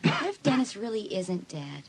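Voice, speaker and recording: A woman's voice: medium pitch, big pitch swings, normal speed, background noise. An actress playing an anxious but caring teenage girl in a dramatised audiobook. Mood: anxious, pleading.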